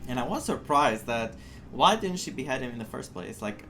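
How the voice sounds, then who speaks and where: A woman doing expressive, exclaiming reaction commentary as she plays a story game. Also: extremely low pitch, moderate pace, soft background music. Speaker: game streamer, playthrough host. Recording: game soundtrack under the voice.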